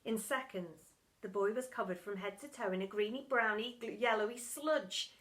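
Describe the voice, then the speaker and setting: A woman's voice: medium pitch, moderate pace, fairly bright tone. A woman narrating a children's storybook expressively and theatrically, as a primary school storytime reader. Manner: dramatic, theatrical.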